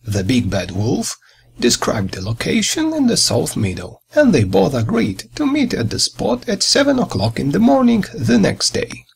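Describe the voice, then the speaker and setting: A man reading a children's fairy tale as a storyteller, with a dramatic, expressive delivery and a husky, gravelly voice. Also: fairly high pitch, normal speed.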